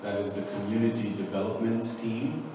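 A man in his forties with a fairly loud voice, giving a relaxed, conversational conference talk to a small group and filling pauses with ums and uhs. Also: on the low side. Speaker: conference presenter. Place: small conference room with long tables. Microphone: far camera, speaking without a microphone. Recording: echoey small room from far off, noisy.

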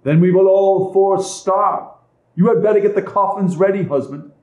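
A man's voice: sits high, normal speed, a little mellow, reverberant, lo-fi sound, pitched above the performer's own voice, lightly acted. A man voicing a harsh, scheming stepmother in a storybook reading, scolding and commanding.

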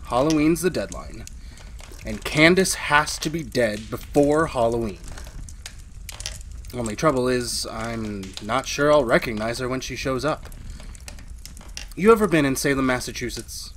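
A man's voice: mid-range voice, lively intonation, measured pace, pauses often, a bit bright. An actor playing a haunted, brooding man who confides like a narrator in a retro radio drama. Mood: brooding confession. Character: haunted husband confessing a murder plan, uneasy academic. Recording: faint background noise.

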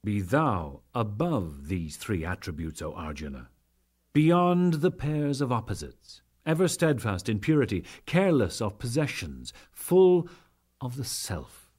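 A man giving a dramatic, solemn and measured reading of scripture as an audiobook narrator. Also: fairly low pitch, very animated, darkish tone, slightly rough. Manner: solemn, measured, theatrical delivery with archaic diction.

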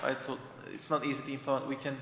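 A man's voice: mid-range voice, level tone, fast. A man in the audience putting a technical point analytically, in spontaneous speech. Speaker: audience member asking a question. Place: large tiered lecture hall. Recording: echoey hall, faint noise, audience microphone.

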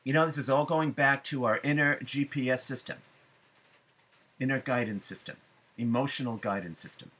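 A middle-aged man giving a spiritual forecast to viewers, speaking deliberately with emphatic phrasing and frequent pauses. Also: medium pitch, fairly bright tone. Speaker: astrology forecaster and psychic medium. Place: small room at home, indoors.

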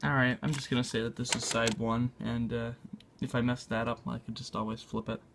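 A man narrating a casual hands-on tutorial in a halting, unscripted way, thinking aloud as he works. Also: mid-range voice, level tone, vocal fry, noisy background. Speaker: video maker demonstrating a repair. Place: indoors at a tabletop.

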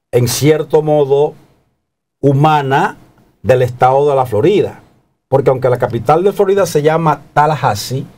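Dominican man in his sixties, mellow-bright studio talker. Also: medium pitch. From Dominican Republic. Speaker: guest panelist, TV channel director. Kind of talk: anecdotal political talk. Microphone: lapel.

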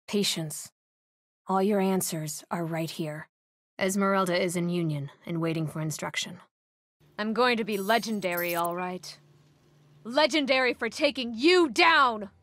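A woman's voice: mid-range voice, a bit bright, no room sound, studio quality, natural voice. An actress playing a tough, guarded young female agent in a horror video game, with a terse, sardonic manner. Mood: tense, restrained, then defiant.

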